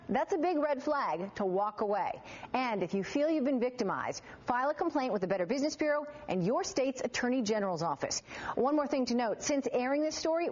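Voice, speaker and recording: A woman's voice: sits high, dull audio. A middle-aged American woman narrating a scripted television consumer news report.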